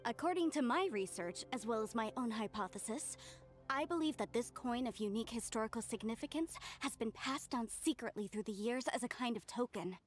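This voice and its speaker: Studious young-scholar type, acted by a woman: fairly high voice, courteous, careful game dialogue. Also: lively intonation, a little fast, soft background music, close to an everyday voice. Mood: thoughtful. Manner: polite, careful, explanatory. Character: polite, studious female researcher, thoughtful and tactful. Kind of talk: English game voice acting.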